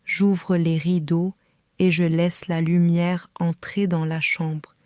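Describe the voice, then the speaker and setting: Woman, learner reading, slow, mellow low voice, calm careful phrasing. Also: smooth, dry recording, clean studio sound. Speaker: French reader for learners. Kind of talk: slow scripted reading for beginners.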